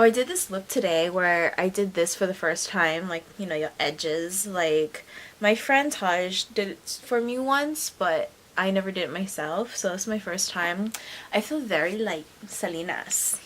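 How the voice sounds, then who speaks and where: A young adult woman talking straight to her camera in a rambling, expressive stream-of-consciousness vlog, with lots of "like". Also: mid-range voice, a little hiss or hum. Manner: rambling and expressive, heavy use of "like", sudden laughs. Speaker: YouTuber.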